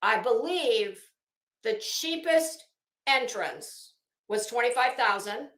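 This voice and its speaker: A woman's voice: fairly high pitch, lively intonation, takes it slowly, fairly bright tone. A woman giving casual, opinionated, rambling commentary as an online host, gossipy and emphatic, stretching out the words she stresses. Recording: roomy, echoing room sound.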